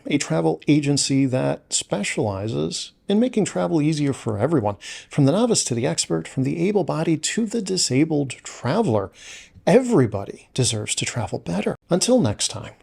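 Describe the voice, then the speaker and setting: A man hosting a travel news show, reading a script in a measured, formal way. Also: fairly high pitch, creaky, darkish tone, breathy edge.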